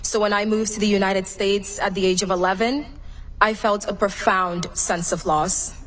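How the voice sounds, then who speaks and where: A young woman from Cameroon delivers her graduation speech as class president, reading it in an earnest, measured way. Her voice sits at a medium pitch, and her delivery stays formal and steady. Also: a little hiss or hum, poor audio. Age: young adult. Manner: earnest, measured, read from a prepared text. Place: large graduation venue.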